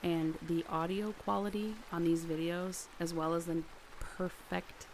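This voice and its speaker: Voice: medium pitch, steady tone, unhurried, darkish tone, slight background noise, low-quality recording. An enthusiastic guest chatting casually on a stream. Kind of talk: casual chat.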